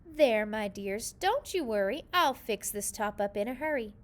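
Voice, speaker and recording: A woman's voice: on the high side, expressive, normal speed, lightly acted. A woman voicing a contented, reassuring mother fox in a children's storybook, soothing and sighing.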